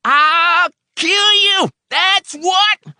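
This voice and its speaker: A man's voice: sits high, very slow, sharp tone, clean tone, no room sound, clean studio sound, voice pushed up, exaggerated voice. A man voicing an enraged, suspicious old workman in a dramatic audiobook, snapping and shrieking in folksy, ungrammatical speech. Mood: murderous rage.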